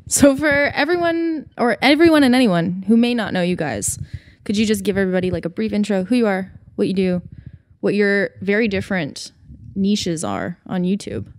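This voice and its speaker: A woman's voice: mid-range voice, animated, moderate pace, darkish tone, no echo. A woman co-hosting a podcast, asking questions in a giggly, friendly way. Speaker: podcast co-host. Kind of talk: casual podcast chat.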